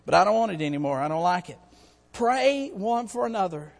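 Hoarse man, church sermon, high voice, gravelly, folksy, long reverb. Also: lively intonation, fairly quick. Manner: folksy, calls out to the congregation. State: hoarse, has lost part of his voice. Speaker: church staff member preaching. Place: church sanctuary.

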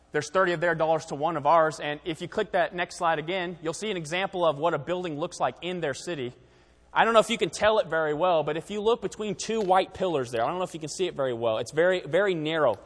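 A man's voice: fairly high pitch, in a large hall. A young man raised in Taiwan, giving a missionary slide presentation to a church in an earnest, conversational way with frequent fillers. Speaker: missionary speaker.

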